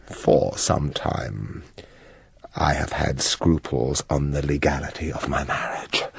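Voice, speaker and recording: An actor playing an imperious, scheming, volatile prince in a gothic audio drama, with a commanding, theatrical delivery. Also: male voice, extremely low pitch, steady tone, slow pace, creaky, breathy edge, no room sound, studio quality, full character voice. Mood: confiding, grave.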